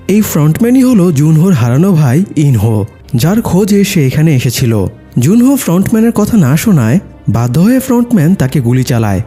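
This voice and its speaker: A man's voice: a little high, warm tone, husky. A man narrating a film's plot from a script. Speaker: movie recap narrator. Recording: music behind the voice. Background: background music.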